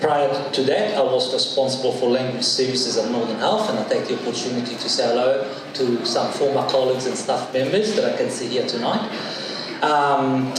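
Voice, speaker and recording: A man from Italy with an Italian accent, giving a formal welcome speech as the new chief executive, measured and hesitant. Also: medium pitch. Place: large function room at an evening event. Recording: echoey hall from far off, noisy.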